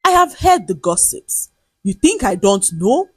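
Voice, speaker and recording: A woman's voice: medium pitch, very animated, unhurried, breathy edge, no room sound, clean studio sound. A woman voicing a cruel, jealous husband in a folktale, scornful, accusing and domineering. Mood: angry, accusing.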